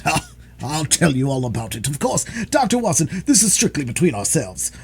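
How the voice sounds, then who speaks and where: Man playing a pompous, high-pitched police detective with a husky edge. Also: sing-song, moderate pace, heavily acted. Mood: boastful. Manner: pompous, boastful. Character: pompous, self-satisfied male police detective, boastful and excitable.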